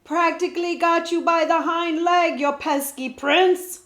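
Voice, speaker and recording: A woman voicing a wheezing, skinny male outlaw who is rough and blustering, recorded in an echoey room. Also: extremely high pitch, fairly even intonation, measured pace, pitched above the performer's own voice. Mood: shouting. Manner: gruff, rough-spoken and loud.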